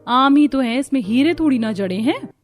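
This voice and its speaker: A woman narrating and voicing characters in a cartoon story, expressive and conversational. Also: sits high, a little fast. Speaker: narrator and voice actor.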